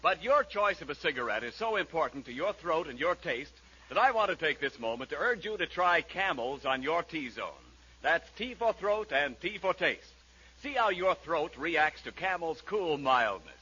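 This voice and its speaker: Male announcer, persuasive vintage commercial read, fairly high and very lively, 1940s radio. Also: normal speed, husky. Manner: polished, persuasive salesman delivery. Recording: muffled 1940s radio recording with music.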